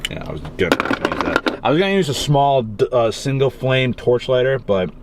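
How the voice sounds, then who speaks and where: A man's voice: mid-range voice, lively intonation, normal speed, background noise. Rambling Massachusetts guy in a car. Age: young adult. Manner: rambling, casual, swears freely. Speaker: cigar reviewer. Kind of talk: casual vlog chat. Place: in his car on a rainy day.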